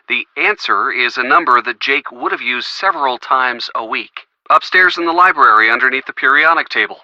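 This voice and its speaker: A man's voice: medium pitch, pushed and bright, no room sound. A man playing an affectionate, playful young boyfriend giving help in a video game phone call. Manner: playful, teasing.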